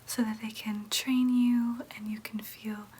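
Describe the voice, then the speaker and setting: A woman playing a gentle, reassuring vampire nurse in an ASMR roleplay, speaking in a soft, breathy whisper with careful, soothing explanations. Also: fairly high pitch, little pitch movement, measured pace. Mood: explanatory, calm.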